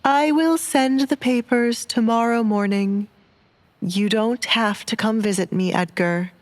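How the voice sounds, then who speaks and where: Woman voicing a flustered priest, slow and high. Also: natural voice. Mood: exasperated, firm. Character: flustered, exasperated young male priest.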